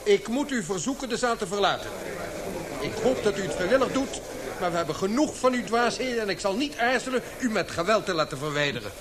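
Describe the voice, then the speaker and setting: A man's voice: high-pitched, lively intonation, a little fast, a crowd in the background. An actor playing a stern chairman of a learned society in a radio play, formal and admonishing. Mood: stern, threatening. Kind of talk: radio drama acting.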